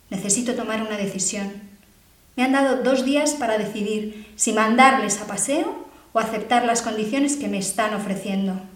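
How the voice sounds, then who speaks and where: An actress playing Valentina, a worried twenty-seven-year-old worker. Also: female voice, on the high side, echoey room, natural voice. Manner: earnest, measured storytelling. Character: Valentina, 27, worried precarious young sociologist. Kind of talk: theatrical monologue. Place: university theatre classroom.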